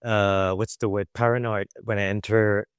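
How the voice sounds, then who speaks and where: A young man from Malaysia, a visual artist answering quick interview questions in a chatty way with many fillers and little laughs. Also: on the low side, level tone, clear, resonant tone, no echo, studio quality.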